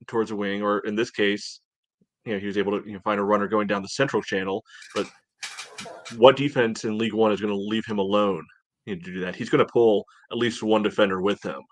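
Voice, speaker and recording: Low-voiced man analyzing sports, dry sound. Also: fairly bright tone, dry recording. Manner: hedging, conversational, peppered with "you know". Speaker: soccer podcast co-host. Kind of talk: casual match analysis.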